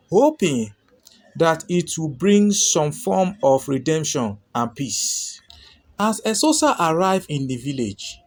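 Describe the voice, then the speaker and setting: A man narrating a folktale from a script as a storyteller. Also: on the high side.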